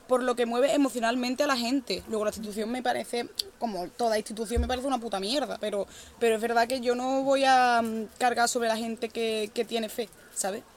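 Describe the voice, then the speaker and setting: Fast-talking Andalusian woman, passionate. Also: fairly high pitch, steady tone, a little hiss or hum. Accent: Andalusian Spanish. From Andalusia, Spain. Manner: passionate, restarts often. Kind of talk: casual group conversation.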